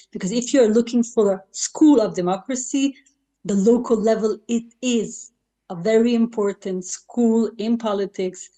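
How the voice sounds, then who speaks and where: Hungarian woman politician, mellow tone, earnest and halting, heard over a video call. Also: mid-range voice. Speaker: local politician. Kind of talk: panel speech.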